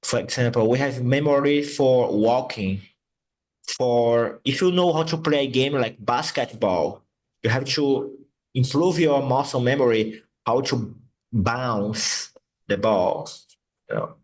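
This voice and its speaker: A man whose first language is Portuguese, teaching English and slowly repeating words to model their sounds. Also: medium pitch, a little mellow, rough recording. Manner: slow, patient, repeats words to model pronunciation. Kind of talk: online English lesson.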